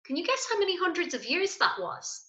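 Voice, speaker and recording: A woman's voice: extremely high pitch, big pitch swings, fairly quick, bright, forceful tone. A woman teacher reading a children's story aloud expressively and chatting to young pupils with questions and asides.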